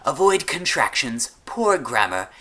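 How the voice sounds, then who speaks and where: A man voicing an eccentric, grandiose villain-like leader, giving speeches with dramatic pauses in an audio story. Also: medium pitch, sing-song, fairly bright tone, voice pushed up. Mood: pompous. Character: eccentric supervillain-like male benefactor, theatrically grandiose.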